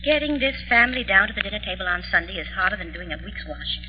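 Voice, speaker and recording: Woman acting a scolding middle-aged mother, fussy, exasperated, quick and animated, 1940s radio drama, muffled old recording. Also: medium pitch, long reverb tail, a little hiss or hum, natural voice.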